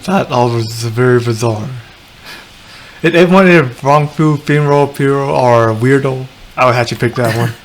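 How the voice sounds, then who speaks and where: A woman's voice: extremely low pitch, moderate pace. A woman giving casual, amused and offhand commentary while playing a video game. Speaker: gamer, streamer.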